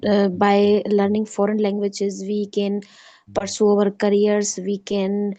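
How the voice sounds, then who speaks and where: Flat-toned Sindhi-speaking woman student. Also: medium pitch, slow pace, dry recording. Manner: polite, often says "ma'am", fillers and restarts. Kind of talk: online class speaking practice.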